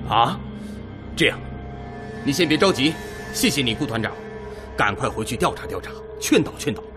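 An actor playing an earnest, worried Red Army political commissar in a formal, emphatic radio drama style. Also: male voice, medium pitch, sing-song, moderate pace, close to an everyday voice. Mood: concerned, steadying. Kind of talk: Chinese radio drama acting. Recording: echoey hall reverb, music underneath.

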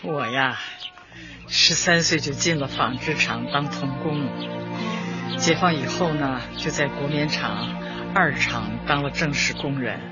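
An actress in a radio drama playing a brisk, cheerful older female community party secretary, chatty and emphatic as she instructs. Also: a bass voice. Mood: cheerful, reminiscing. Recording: dull audio with music behind. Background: background music.

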